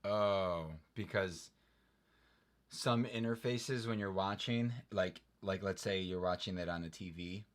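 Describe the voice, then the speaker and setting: A man hosting a casual livestream, his lowish voice getting animated and exasperated as he rambles through rants. He argues his points with a lot of restarts and emphasis. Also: a little slow.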